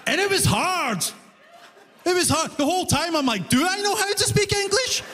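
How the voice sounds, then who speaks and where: Male stand-up comedian, Glaswegian accent, high voice, reverberant live venue recording. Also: expressive, moderate pace, sharp tone, a little hiss or hum. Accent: Glaswegian Scottish. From Glasgow, Scotland. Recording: live stage with long reverb.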